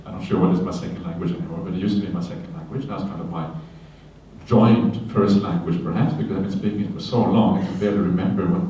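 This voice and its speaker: A man, a Buddhist monk giving a relaxed dharma talk on a sutta, often checking in with his listeners and adding light humorous asides. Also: deep voice, quick pace, slight background noise. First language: Norwegian. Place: retreat hall. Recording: very echoey hall, voice from a distance, faint ambience.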